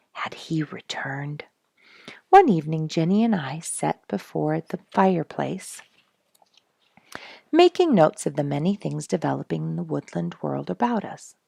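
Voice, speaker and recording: A woman reading a nature storybook aloud in an expressive, measured, dramatic storytelling manner. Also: sits low, creaky, breathy edge, no room sound. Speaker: audiobook reader and show host.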